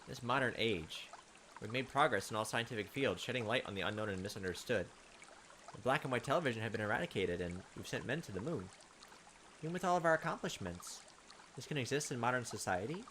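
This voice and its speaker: A man's voice: mid-range voice, animated, brisk, pauses often, a bit bright, a little hiss or hum. A man doing a visual novel let's play, reading aloud expressively and adding sarcastic asides. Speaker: let's player.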